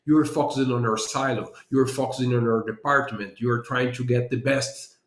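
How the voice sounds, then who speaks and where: A man's voice: medium pitch, steady tone, normal speed, a bit bright, reverberant. A man from Brazil with a Brazilian accent, a guest on a podcast interview, explaining his ideas earnestly and thinking aloud. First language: Portuguese. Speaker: guest, business analysis consultant.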